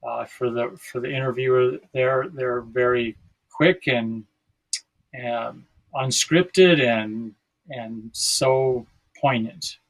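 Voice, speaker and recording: A man's voice: medium pitch, slow pace, low-quality recording. An American man in his sixties from South Dakota, talking casually and reflectively as a podcast guest, often searching for his words. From South Dakota, United States.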